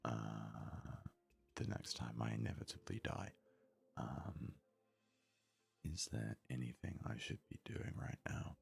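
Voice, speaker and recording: A man softly narrating an ASMR video game let's play, rambling and thinking aloud, close to a sensitive microphone. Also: a bass voice, lively intonation, slow pace, gravelly, breathy edge, soft background music. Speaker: gaming video host. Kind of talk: whispery soft ASMR let's play commentary. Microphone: close, highly sensitive microphone.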